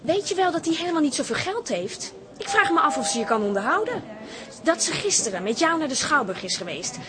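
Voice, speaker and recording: An actress playing an earnest, sensitive young woman in a radio drama, gently questioning. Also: sits high, very animated, brisk, background noise. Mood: cautioning. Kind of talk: naturalistic radio drama acting.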